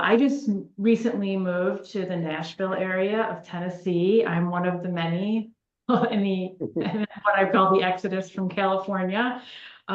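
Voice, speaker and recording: A woman executive introducing herself on an online panel, friendly and a bit halting. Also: medium pitch. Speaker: guest executive. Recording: roomy, dull lo-fi sound.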